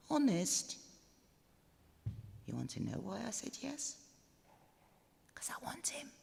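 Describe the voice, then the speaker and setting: A woman's voice: on the high side, creaky, darkish tone, voice pushed up, heavily acted. A woman voicing a frank, smitten young bride-to-be confiding in her diary, in a live story reading. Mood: confiding, wry. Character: young bride-to-be, frank, sweary, defiant yet smitten diarist. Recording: live in echoey stone chapel, long reverb.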